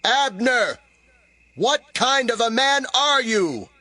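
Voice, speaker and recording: Bold devout young warrior in a bible audio drama, high bright voice, slow and declamatory. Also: male voice, sing-song, no room sound, heavily acted. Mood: accusing, shouting.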